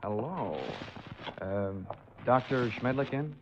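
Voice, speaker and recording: A man playing a glib, flirtatious insurance investigator in a 1940s radio drama, wisecracking and smooth-talking. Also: mid-range voice, takes it slowly, heavy background noise, close to an everyday voice. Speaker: lead actor and narrator. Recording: muffled 1940s radio, music behind.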